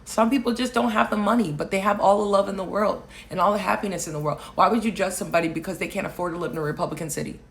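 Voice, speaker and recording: A woman from Alaska, raised in Hawaii, hosting political commentary, talking loudly and emphatically and answering viewer comments. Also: medium pitch, very fast, gravelly, hardly any pauses. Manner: loud, emphatic, rising to near shouting on key points.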